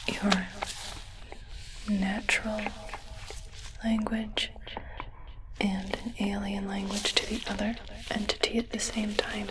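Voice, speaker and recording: A woman playing a soft-spoken, puzzled alien in an ASMR roleplay, speaking in a breathy whisper with a halting, measured clinical manner. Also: medium pitch, close to an everyday voice, poor audio. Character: soft-spoken, puzzled alien implanting a translator in a human's brain.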